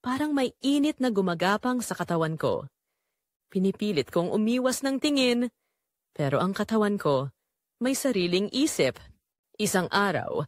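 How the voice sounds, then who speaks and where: Woman doing dramatic story narration, really animated pitch, dry recording w no room echo. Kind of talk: scripted first-person dramatic story narration.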